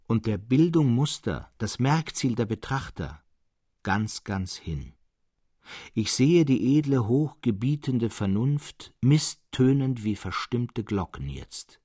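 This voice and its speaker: An actor playing a thoughtful storyteller fascinated by madness, narrating a literary radio drama in a solemn, deliberate manner. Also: male voice, mid-range voice, darkish tone, slightly rough, no echo, studio quality. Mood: moved, solemn. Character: thoughtful male storyteller Cyprian, fascinated by madmen.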